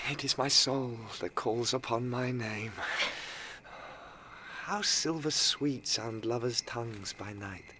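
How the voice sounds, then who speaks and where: A man's voice: mid-range voice, slow, lightly acted. A man plays a dreamy young lover of noble birth with a British accent. He delivers classical verse with ardent, sighing breathiness, and there is faint noise in the background. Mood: dreamy, adoring. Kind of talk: classical verse audio drama.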